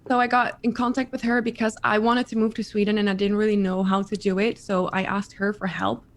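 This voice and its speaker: A woman in her thirties from the Netherlands with a Dutch accent, chatting as an interview guest, lively and repeating her words. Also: medium pitch, fairly quick. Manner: animated, repeats words, laughs often. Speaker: guest streamer. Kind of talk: casual interview chat.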